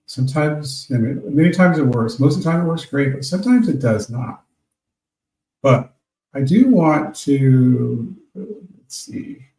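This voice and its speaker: Host, male, late fifties: gravelly, mellow voice, loose rambling delivery, set back from the mic in an echoey room. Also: medium pitch, far from the mic. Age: 50s. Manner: meandering, reads out listener names. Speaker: internet radio host. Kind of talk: rambling casual talk to listeners.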